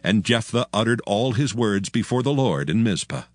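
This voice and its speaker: A man narrating a dramatized Bible in a measured, formal storytelling manner. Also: lowish voice, lively intonation, dry recording.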